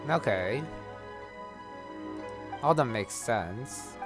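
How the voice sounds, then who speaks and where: A man's voice: medium pitch, lively intonation. A man casually commenting on gameplay, musing to himself. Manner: mutters to himself, slow and musing. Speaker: gaming channel host. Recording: commentary over game music.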